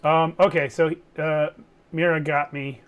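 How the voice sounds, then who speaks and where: A man's voice: on the high side, little pitch movement, measured pace, clean tone. A man playing a tabletop game, moving casually between his character and table talk.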